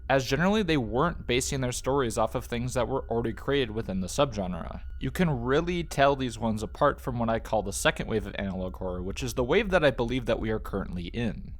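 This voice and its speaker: A man hosting a video essay, reading a scripted review in a blunt, opinionated, casual way. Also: medium pitch. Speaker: host of a video essay channel.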